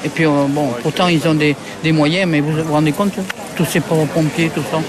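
A woman's voice: low voice, steady tone, a little fast. A woman in her eighties being interviewed, talking in a plain, rueful, chatty way. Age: 80s. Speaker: interviewed resident. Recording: street vox pop with crowd noise.

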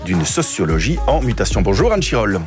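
A man's voice: fairly low pitch, big pitch swings, fairly quick, music in the background. A man hosting a radio interview in a studio, welcoming his guest and often rephrasing his questions as he goes.

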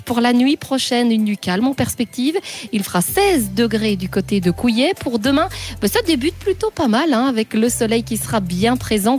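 Chatty weather presenter woman. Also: on the high side. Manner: bright, chatty. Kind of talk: radio weather forecast.

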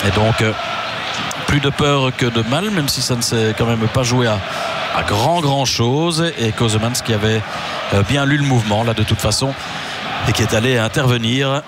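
Male football commentator, live play-by-play, frequent hesitations, mid-pitched voice, stadium noise clearly underneath. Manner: halting, frequent "euh" fillers, rising pitch on attacks.

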